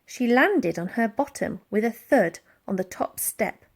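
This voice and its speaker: A woman narrates a children's audiobook. Her voice sits fairly high and moves up and down a great deal, with dramatic storytelling and acted-out sound words. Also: animated, measured pace. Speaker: audiobook reader.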